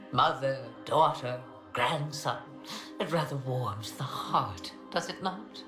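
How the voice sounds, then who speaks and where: A woman's voice: low-pitched, very animated, extremely slow, heavily acted. An actress playing a sly, imperious old witch and grandmother in a fantasy game, with wry, archaic, theatrical phrasing. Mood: mocking, amused. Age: older. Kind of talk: dramatic fantasy game acting. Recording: echoey, music behind.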